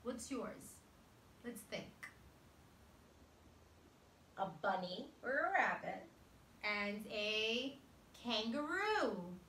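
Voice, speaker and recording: A woman teacher reading a storybook aloud to young children in an expressive way, pausing to put questions to them. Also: fairly high pitch. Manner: expressive, dramatic emphasis, pausing to question listeners. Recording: roomy, distant mic with faint noise.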